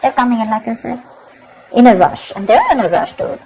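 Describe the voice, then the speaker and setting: A woman's voice: on the high side, animated, a little fast, background noise. A woman giving a tarot reading to her viewers, emphatic and repetitive, often checking in with "okay?". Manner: emphatic, repeats herself, keeps asking "okay?". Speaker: tarot reader.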